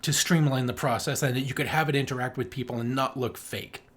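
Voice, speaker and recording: A man's voice: medium pitch, fairly even intonation, brisk, breathy edge. A man co-hosting a podcast, chatting casually about a film in a slangy, joking, sweary way. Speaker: podcast co-host. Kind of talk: casual film review chat.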